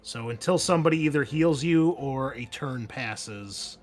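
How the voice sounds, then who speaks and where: A man with a mid-pitched voice runs a tabletop roleplaying game. He explains rules and narrates off the cuff, pausing to think aloud. Soft music plays behind him. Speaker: game master of an online tabletop game. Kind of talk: casual rules talk and improvised narration.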